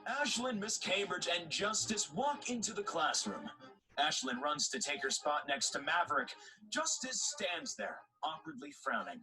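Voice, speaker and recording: A man's voice: high voice, sing-song, moderate pace, bright, forceful tone, soft background music, rough recording. A man reading scripted narration for an audio drama.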